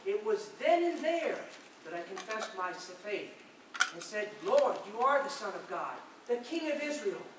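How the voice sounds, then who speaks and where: Devout fisherman disciple played by a man, earnest stage monologue, high voice, very echoey and far from the mic. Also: very animated, unhurried. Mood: reverent. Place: large reverberant indoor hall, recorded from afar. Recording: very echoey hall, distant mic, noisy.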